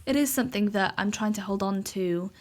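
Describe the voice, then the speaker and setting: A woman's voice: mid-range voice, a little fast. A woman in her twenties with a Welsh accent, a native Welsh speaker, chatting casually as a podcast guest with frequent ums. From Cardiff, Wales. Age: 20s. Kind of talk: casual interview chat.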